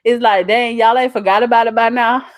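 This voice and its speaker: A woman's voice: fairly high pitch, steady tone, a little fast, dry recording. A young adult woman with a Southern US accent, talking casually as a channel host in a folksy, emphatic way on a livestream. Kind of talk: casual livestream chat reading out viewer comments.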